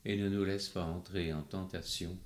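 A man reciting the responses of a rosary in an even, measured, prayerful way. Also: sits low, heavy background noise. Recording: echoey room.

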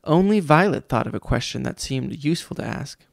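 A man's voice: medium pitch, lively intonation, a little fast, creaky, no room sound, studio quality. A man reading a children's audiobook aloud as scripted storytelling narration. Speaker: audiobook reader, father reading to his child.

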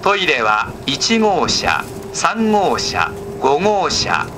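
A man's voice: on the high side, huge pitch swings, drawn-out words, over music. A man reading a formal scripted announcement as a recorded train announcer. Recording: noisy recording inside moving train. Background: train running noise.

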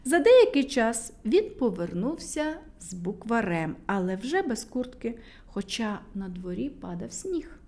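Lively woman telling a fairy tale. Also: a little high, measured pace. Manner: animated, addresses children directly. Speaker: storyteller. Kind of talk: children's fairy tale reading.

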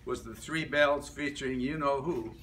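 An elderly man reads his reminiscences to a small gathering. His voice is bright and forward, and he moves haltingly from phrase to phrase. He is picked up from a few metres away in a meeting room, with faint noise around him. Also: mid-range voice. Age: older, about 70s to 80s. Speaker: club member giving a life-story speech. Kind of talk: reading a prepared reminiscence aloud to a group. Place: medium-sized indoor meeting room. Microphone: camera a few metres away. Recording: distant room mic with background noise.

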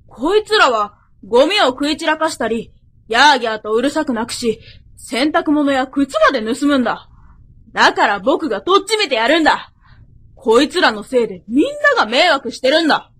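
A woman's voice: high-pitched, lively intonation, a little fast, fairly bright tone. A woman playing a stubborn, indignant young boy in a radio drama, speaking in an accusing, insistent way. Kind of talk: Japanese radio drama acting.

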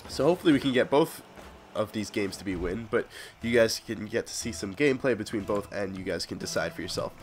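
A man's voice: lowish voice, expressive, brisk. A young adult man hosting a gaming video with casual gameplay commentary, sounding congested and sick with a cold.

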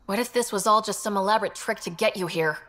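A woman's voice: mid-range voice, fast talker, fairly bright tone, natural voice. An actress playing a dry, sardonic woman in a space adventure video game. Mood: dry, teasing. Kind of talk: video game dialogue acting. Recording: music behind the voice.

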